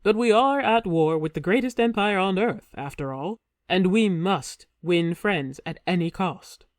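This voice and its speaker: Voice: medium pitch, measured pace, no echo, clean studio sound, close to an everyday voice. A narrator voicing a young warrior posing as a king, stiff and awkward with forced cheer. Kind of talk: audiobook character voice.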